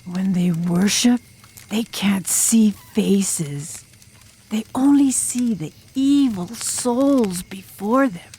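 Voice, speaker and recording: A woman's voice: medium pitch, mellow, husky, full character voice. A woman playing an intense, enigmatic girl drum major who tells a scary tale dramatically in an audiobook. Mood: grave. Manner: dramatic, ominous, deliberate.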